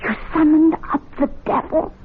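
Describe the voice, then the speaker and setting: A woman's voice: high-pitched, steady tone, normal speed. An actress playing an anxious, superstitious woman in a 1970s radio drama, grave and full of warning. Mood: ominous, worried. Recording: tinny, band-limited vintage radio recording.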